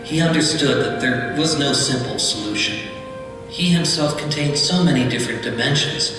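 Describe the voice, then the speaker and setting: A man's voice: mid-range voice, normal speed, far from the mic. A man delivering scripted documentary narration. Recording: echoey hall playback from afar, loud music.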